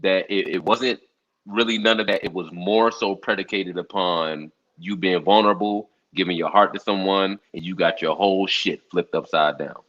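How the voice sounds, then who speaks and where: A man hosting a casual interview, asking probing, slangy questions in a measured way. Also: low-pitched, steady tone, fairly bright tone. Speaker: interview host. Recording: muffled lo-fi audio.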